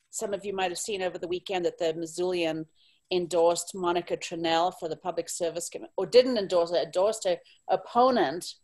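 A woman's voice: on the low side, a little mellow. Impassioned woman from Zimbabwe. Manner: impassioned, candid, blunt. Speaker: panelist. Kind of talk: panel discussion.

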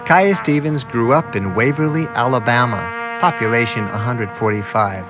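A man's voice: mid-range voice, normal speed. Man narrating a documentary over music.

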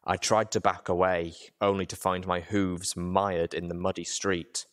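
A man with a low voice narrates a story in the first person. His reading is dramatic, like an audiobook, and sits deep in pitch. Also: level tone, measured pace, clear, resonant tone.

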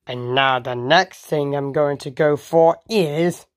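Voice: mid-range voice, slow pace, natural voice. A performer voicing a murderous prehistoric crocodile monster in a homemade dinosaur story, menacing, gloating and taunting. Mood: gloating menace.

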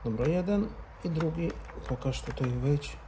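A man's voice: on the high side, animated, a little slow, dark tone. A man, talking casually and informally through a hands-on tutorial, often muttering to himself as he works. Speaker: motorcycle vlogger. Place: indoor garage-bar lounge room. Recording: roomy echo, background noise.

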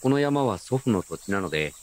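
A man playing a gentle, nostalgic grown man who narrates his memories in a reflective, unhurried radio drama style. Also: fairly low pitch, sing-song. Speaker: narrator and lead actor. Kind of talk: first-person radio drama narration with character dialogue.

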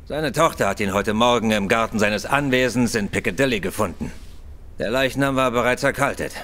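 A man's voice: mid-range voice, brisk, fairly bright tone, close to an everyday voice. An actor playing a self-assured male police inspector in a German audio drama, officious, curt and grudging. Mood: grudging, matter-of-fact. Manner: officious, brusque.

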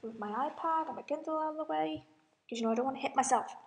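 A young woman with a high voice hosts a casual home-recorded vlog. She rambles in a chatty, self-deprecating way, addressing her viewers informally. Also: quick pace, gravelly. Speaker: amateur cover singer and channel host.

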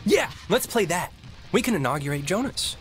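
An eager teenage boy with an American accent. He rambles on, upbeat and a little awkward, his pitch jumping around animatedly. Faint music sits behind him. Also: big pitch swings, moderate pace. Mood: coaxing. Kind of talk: naturalistic video game acting.

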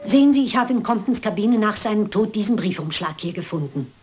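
A woman's voice: medium pitch, animated, fairly quick, darkish tone, faint music behind, close to an everyday voice. A shrewd, composed elderly lady detective, acted by a woman in a crime radio play. She lays out her reasoning precisely and persists with impeccable politeness. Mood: assured, explaining. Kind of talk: German dubbed crime film acting.

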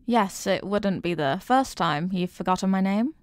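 A woman's voice: mid-range voice, animated, moderate pace, no echo, close to an everyday voice. A woman playing a doting, intensely possessive girlfriend in an intimate ASMR roleplay, cooing tenderly with pet names. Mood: tender, soothing.

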